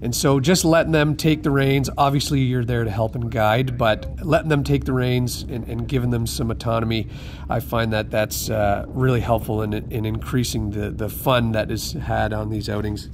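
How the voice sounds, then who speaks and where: A man's voice: medium pitch, fairly even intonation, normal speed, few pauses, background noise. Easygoing man in his 30s giving tips. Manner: easygoing, rambling with many fillers and restarts. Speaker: fishing charter captain. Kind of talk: voice-over tips narration.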